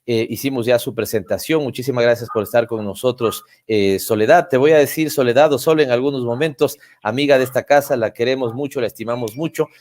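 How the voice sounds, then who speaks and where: A man's voice: medium pitch, fast, hardly any pauses. A man hosting a political talk show, who talks in a conversational way with many "eh" fillers. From Ecuador. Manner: warm conversational, with frequent "eh" fillers. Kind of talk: interviewing a guest.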